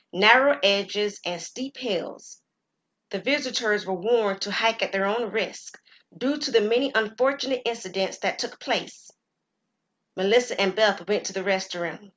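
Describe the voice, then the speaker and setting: A woman storyteller reads a scripted suspense tale at a measured pace. Her delivery is clear and builds gentle suspense, in a voice of middle pitch. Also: dull audio. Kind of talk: scripted narration of an audio drama story.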